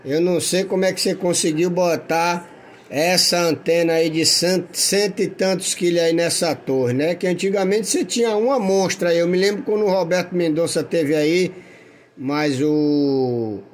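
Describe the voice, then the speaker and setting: A man's voice: on the high side, moderate pace. A Brazilian man chatting casually and admiringly as a radio operator, in a friendly way.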